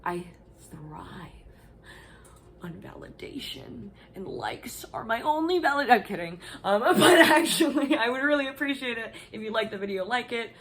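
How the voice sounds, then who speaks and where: A woman's voice: on the high side, expressive, moderate pace, a bit bright. A woman giving a playful, giggly vlog outro and joking with her viewers.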